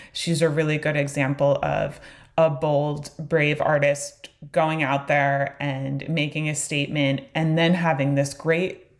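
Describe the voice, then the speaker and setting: Low-voiced art teacher with a New York-area accent. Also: female voice. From New York area, USA. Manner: chatty, many fillers and "like"s, apologetic asides. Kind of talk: casual online course lecture.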